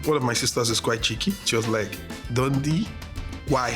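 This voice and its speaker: A man from Nigeria, speaking in a documentary interview in an animated way and laughing easily. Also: medium pitch, normal speed, music in the background.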